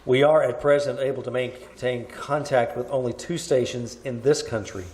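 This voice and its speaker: A man acting a formal, grave radio newsreader reporting a catastrophe in measured old-time bulletin style. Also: medium pitch, steady tone, darkish tone, lightly acted. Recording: roomy live stage, faint noise.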